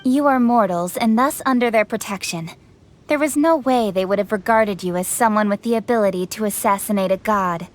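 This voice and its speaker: An actress voicing a brisk, direct young noblewoman official in a video game. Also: medium pitch, a little hiss or hum, lightly acted. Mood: stern. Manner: crisp, direct, a little sharp.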